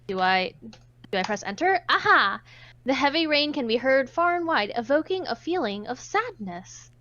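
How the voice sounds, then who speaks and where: A woman's voice: high voice, sing-song, moderate pace, sharp tone, muffled recording. A woman streamer reading narration aloud in a casual way, dropping in playful, jokey asides as she goes. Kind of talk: casual group chat mixed with read-aloud narration.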